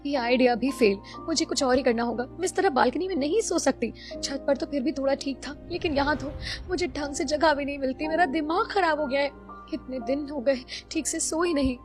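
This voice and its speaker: Whiny, high-voiced timid young daughter-in-law played by a woman. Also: fairly quick, music in the background, natural voice. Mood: whiny, exasperated. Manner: whiny, pleading, dramatic. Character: timid, scheming young daughter-in-law, exasperated by her in-laws. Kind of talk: Hindi cartoon story voice acting.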